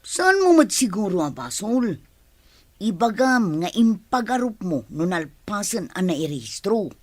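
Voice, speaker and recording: A woman's voice: mid-range voice, sing-song, measured pace, no echo. An actress playing a pushy, money-hungry village woman who schemes, in a radio drama. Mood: scheming.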